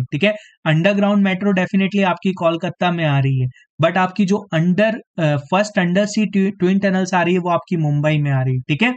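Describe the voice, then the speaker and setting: A man with a fairly high voice leads an online quiz class for exam students. He is a bit hoarse and talks straight to his viewers, checking in with them after nearly every point. The sound is dull and lo-fi, like a streamed lesson. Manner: repeats "theek hai" as a tag, addresses students directly. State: slightly hoarse, clearing throat. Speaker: exam coaching teacher.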